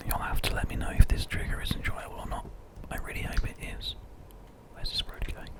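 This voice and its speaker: British male ASMR host for game commentary; constant breathy whisper, gentle and unhurried. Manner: soft, gentle, unhurried rambling.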